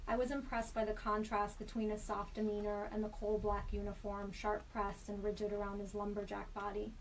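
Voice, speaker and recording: Woman reading her story out loud, pretty flat, a bit off mic w/ faint hiss. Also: mid-range voice. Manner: measured, deliberate reading. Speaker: storyteller guest. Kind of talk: reading a personal story aloud. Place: studio. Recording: slightly distant mic with faint background hiss.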